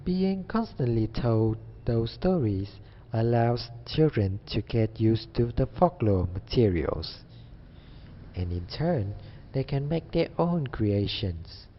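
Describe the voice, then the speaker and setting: A man's voice: mid-range voice, sing-song, takes it slowly. A young man delivering a scripted student presentation. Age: young adult. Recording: dull audio with faint background noise.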